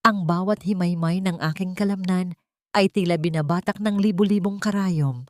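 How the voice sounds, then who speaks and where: A woman storyteller with a fairly low voice. She delivers dramatic first-person narration from a script, recorded close and dry. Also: moderate pace, no room sound.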